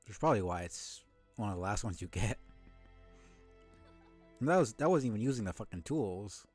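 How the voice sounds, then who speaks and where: Low-voiced man streaming a game over music. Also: expressive, fairly quick, darkish tone, slightly rough. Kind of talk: casual live gameplay commentary. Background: game music behind the voice.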